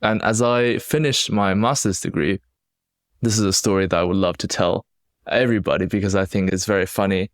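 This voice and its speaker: Young male podcast host, very low voice, Chinese-British accent, earnest and reflective, dry close sound. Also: fairly even intonation, a little fast, dry recording. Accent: Chinese-accented English with British influence. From China. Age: young adult. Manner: reflective, earnest, with frequent restarts. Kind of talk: solo podcast storytelling.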